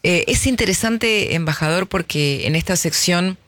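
Bright lively radio host. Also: male voice, sits high, normal speed. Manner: lively, playful, banters with the guest. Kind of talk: radio show hosting. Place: radio studio.

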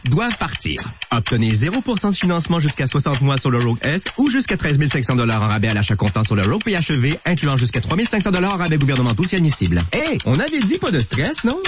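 A man reading a car commercial in a conversational announcer style. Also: on the high side. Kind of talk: fast conversational ad read. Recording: muffled radio ad over music.

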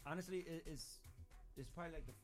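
A man's voice: on the high side, quick pace. A man as a radio guest, chatting casually in a loose, informal group conversation. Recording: music playing behind the talk.

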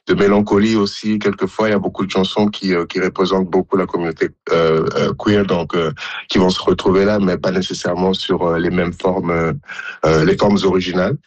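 A man's voice: deep voice, little pitch movement, a little fast, no echo, highs cut off. A man from Congo, a musician guest on a radio interview, answering with many hesitations and restarts.

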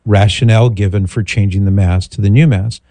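Older guy hosting call-in radio, calm and thoughtful, lowish mellow voice, dry studio sound. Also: no room sound. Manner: measured, reflective, pastoral. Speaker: radio talk show host.

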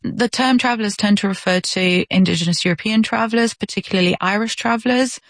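A woman's voice: medium pitch, quick pace, no room sound. A woman from Romania speaking as an author and interview guest, giving articulate, informative explanations.

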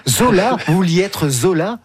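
Homme, hôte d'entretien, diction soignée, timbre clair et assez aigu. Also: male voice, high voice, expressive, normal speed, slightly rough, dry recording, clean studio sound. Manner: articulate, presents books at length. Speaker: host. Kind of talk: literary interview.

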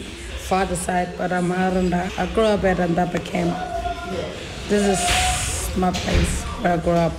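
A woman, a town camp resident, speaking slowly and haltingly in an interview. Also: fairly low pitch, echoey room, poor audio. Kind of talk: interview testimony.

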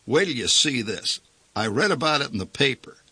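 A man voicing an excitable, boastful middle-aged father who bickers loudly with his family. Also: mid-range voice, husky, no room sound, pitched above the performer's own voice, exaggerated voice. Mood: eager. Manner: eager, loud, quick to bicker.

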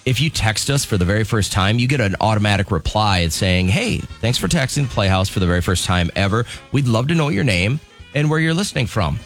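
A man's voice: on the low side, expressive, brisk, soft background music. A man in his fifties co-hosting a morning radio show, chatting casually and laughing easily. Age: 50s. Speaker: radio co-host.

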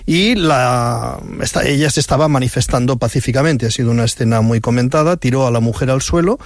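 A man's voice: medium pitch, fairly quick, no echo. A man hosting a satirical news show, commenting in a dry, ironic tone. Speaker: host.

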